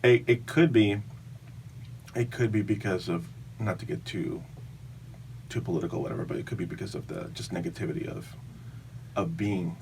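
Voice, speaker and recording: A man's voice: fairly low pitch, moderate pace, pauses often, darkish tone, distant mic, slight background noise, poor audio. A man in his thirties from Venezuela, a guest chatting animatedly on a podcast in a small foam-walled studio. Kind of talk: casual podcast chat. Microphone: studio mic on boom arm, headphones.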